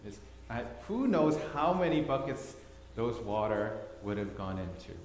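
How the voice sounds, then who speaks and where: A man's voice: medium pitch, very animated, measured pace, darkish tone, a little hiss or hum. A man from Thailand giving a sermon with slides, telling the story with repeated words for emphasis. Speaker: preacher. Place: church hall. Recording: echoey hall, long reverb.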